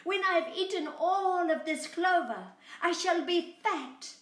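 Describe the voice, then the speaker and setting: Woman putting on a high bleating little lamb. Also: unhurried, a bit bright. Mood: pleading. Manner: bleating, pleading and coaxing. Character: bleating little female lamb, timid but cunning. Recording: roomy, echoey, distant mic.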